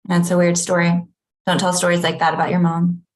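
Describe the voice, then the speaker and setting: A woman reading the part of an aloof, blunt managing editor in a deadpan, conversational comedy table read. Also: lowish voice, fairly quick, lightly acted. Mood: unimpressed. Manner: deadpan, unimpressed.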